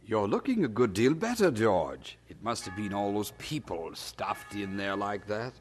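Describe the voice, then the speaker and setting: A man's voice: fairly low pitch, sing-song, measured pace, music in the background. An actor playing a genial, talkative, worldly man in an audio drama, with a chatty, stammering manner. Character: genial, talkative, blustering man, George's worldly host Tom.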